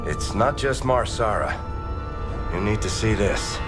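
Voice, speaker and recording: An actor playing an earnest, dutiful warship captain in a video game, crisp and commanding. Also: male voice, fairly low pitch, animated, a little slow, music in the background. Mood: grave. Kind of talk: cinematic video game acting.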